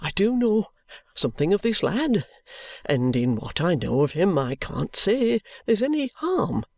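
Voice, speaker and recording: A reader voicing a timid, perplexed middle-aged stationer who stammers, hesitates and clears his throat. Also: medium pitch, sing-song, measured pace, a little mellow, no room sound, voice pushed up, exaggerated voice. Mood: hesitant.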